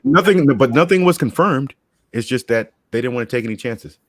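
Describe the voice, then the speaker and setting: A man's voice: mid-range voice, expressive, a little fast, no echo, clean studio sound. A man co-hosting a casual chat, laid-back and laughing often as he tells stories. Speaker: podcast co-host.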